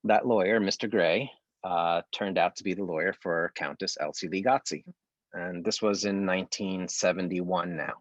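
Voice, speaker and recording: Low-voiced man, halting and reflective, dull lo-fi call sound. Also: dry recording. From United States. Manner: halting, many fillers and restarts. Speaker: artistic director. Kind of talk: conversational interview.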